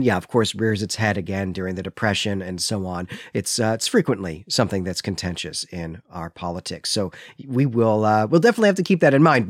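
A man's voice: on the low side, dry recording, clean studio sound. A man co-hosting a book podcast, speaking in an articulate, explanatory way as he discusses and reads literature. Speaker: podcast co-host.

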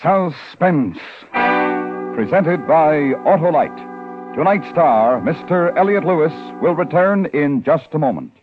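An American man reading scripted credits as a formal 1950s radio announcer. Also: fairly high pitch. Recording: tinny vintage radio, faint music.

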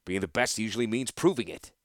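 A stern veteran weapons master gives calm, dryly threatening orders with a lively lilt, as a male narrator voices him. His voice sits fairly low and close, with a dry sound. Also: fast talker, dry recording. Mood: commanding.

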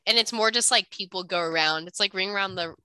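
Young woman, bright tone, a bit stuffed-up and nasal, chatty podcast banter, dry close sound. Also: medium pitch, quick pace, smooth, no room sound. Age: young adult. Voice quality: slightly nasal from congestion. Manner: chatty, self-deprecating, joking. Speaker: podcast co-host. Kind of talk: casual banter between siblings.

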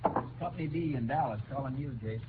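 An actor playing a folksy, plainspoken and skeptical small-town sheriff in an old radio crime drama. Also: male voice, medium pitch, fast, lightly acted. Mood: musing. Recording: tinny, noisy old radio recording.

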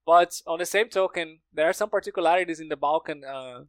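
Brazilian-accented man, native Portuguese speaker, slightly high pitch, dry recording, informal storyteller. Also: fairly quick, smooth, dry recording. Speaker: podcast speaker, language learner. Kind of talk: casual conversation.

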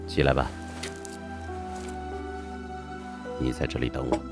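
An actor playing a cold, commanding fox monarch in a Chinese costume audio drama, imperious and threatening. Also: male voice, very deep voice, completely flat delivery, racing, vocal fry, over loud music. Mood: stern.

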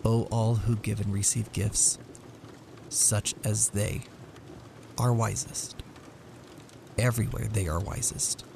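Male story reader, lowish pitch, gravelly fry, measured theatrical narration, slight background noise. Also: level tone. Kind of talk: dramatic scripted narration.

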